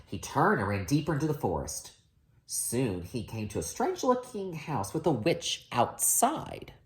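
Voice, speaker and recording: A woman's voice: rumbling low, sing-song, a little slow. A woman reading a children's story aloud expressively as a storyteller.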